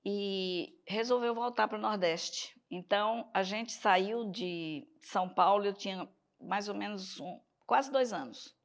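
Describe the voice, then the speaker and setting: An older woman with a Northeastern Brazilian accent, reminiscing in an oral history interview with pauses and restarts. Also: medium pitch.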